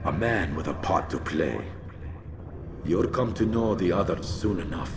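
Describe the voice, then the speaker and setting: Imperious arms dealer, wildly swinging delivery. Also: male voice, deep voice, expressive, unhurried, noisy background. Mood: dying, defiant. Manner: imperious, scornful, theatrical. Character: proud menacing male arms dealer, quick to rage. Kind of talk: video game cutscene acting. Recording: game music behind the voice.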